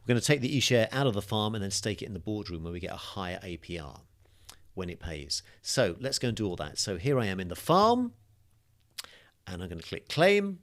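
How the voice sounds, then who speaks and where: A man's voice: fairly low pitch, big pitch swings, brisk, a bit bright. A man teaching a crypto course, walking through a screen-share tutorial in a chatty, upbeat way with fillers, his voice a little worn. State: slightly losing his voice, coughing.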